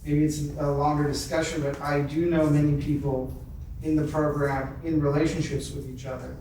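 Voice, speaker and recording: Flat-toned man in a very echoey room. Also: on the high side, moderate pace. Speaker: meeting participant. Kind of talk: casual personal sharing. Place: convention meeting room, indoors. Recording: very echoey room, distant mic, faint noise.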